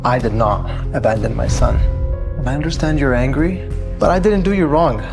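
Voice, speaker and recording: A man plays a cocky young crown prince in an audio drama. The voice is gravelly and creaky, with measured, self-assured delivery. Music plays clearly behind it. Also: mid-range voice, close to an everyday voice. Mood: earnest, firm.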